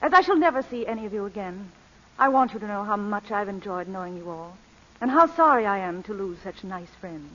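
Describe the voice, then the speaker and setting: An actress as a coolly unrepentant society lady thief with a lively, swooping voice. She is genteel and teasing in old radio drama style, on a dull, lo-fi recording. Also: mid-range voice, fairly quick, slight background noise, natural voice. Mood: mock-sweet. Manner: genteel, teasing, mock-innocent. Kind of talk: 1940s radio drama acting.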